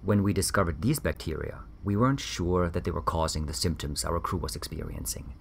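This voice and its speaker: An actor playing a weary, defensive ex-army doctor in a grave, measured audio drama interview. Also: male voice, low voice, creaky, slight background noise.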